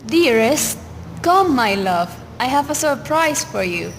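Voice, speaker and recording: A woman's voice: sits high, very animated, slow pace, exaggerated voice. A woman acting the part of a sweet, doting young wife in a radio play. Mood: tender. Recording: noisy, echoey hall-like reverb.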